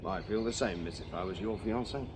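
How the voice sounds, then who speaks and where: An actor playing a shrewd, dry-witted Victorian police sergeant in a radio drama. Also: male voice, sits low, fairly quick, noisy background, lightly acted. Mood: courteous. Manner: dry, wry questioning. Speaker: detective sergeant.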